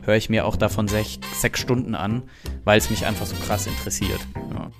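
A man from Bavaria, a guest in a podcast interview, talking casually in a relaxed, chatty way with many fillers. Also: lowish voice, moderate pace, gravelly, loud music behind the voice.

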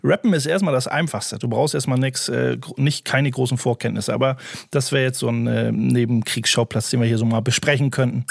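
A man's voice: medium pitch, fairly quick, creaky, dry recording, clean studio sound. A man, a DJ and producer, co-hosting a casual podcast and rambling through his points with many restarts. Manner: rambling, restarts sentences often, laughs easily. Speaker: DJ and music producer, co-host.

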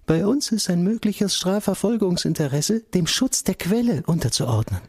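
An officious, evasive government bureaucrat in a radio drama. His voice is high for a man and recorded very dry. He speaks formally and dismissively, stonewalling. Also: very animated, moderate pace, darkish tone, slightly rough, no room sound, full character voice. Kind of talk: radio play acting.

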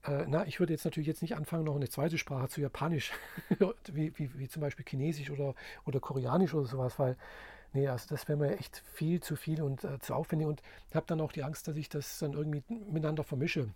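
A man's voice: fairly high pitch, steady tone, darkish tone, breathy edge, dry recording. A man talks in a casual, rambling monologue, full of fillers and restarts. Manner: rambling, halting, many fillers. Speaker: language learner.

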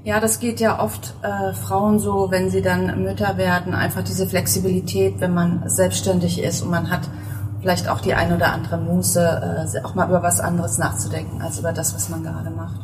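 A woman's voice: lowish voice, completely flat delivery, moderate pace, distant mic, noisy background. A middle-aged woman hosting a podcast interview, talking casually with many fillers and restarts, on an outdoor terrace. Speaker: podcast host. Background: passing people and cars, music in the intro.

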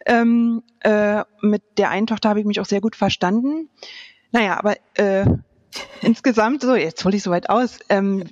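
A woman's voice: medium pitch, normal speed, darkish tone, no echo. A woman in her late thirties talks casually as a podcast guest. She feels her way hesitantly through her answers, searching for words. The sound is dry and a little muffled. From Berlin, Germany. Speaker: podcast guest, youth welfare coordinator. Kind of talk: casual personal interview answers.

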